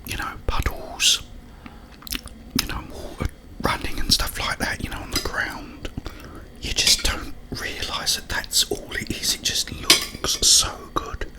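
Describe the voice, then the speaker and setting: A man hosting an ASMR video, rambling casually in a breathy, unvoiced whisper with drawn-out phrasing. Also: rough recording.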